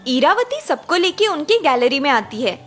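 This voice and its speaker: High-pitched woman narrating a cartoon story. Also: sing-song, normal speed, a bit bright, soft background music.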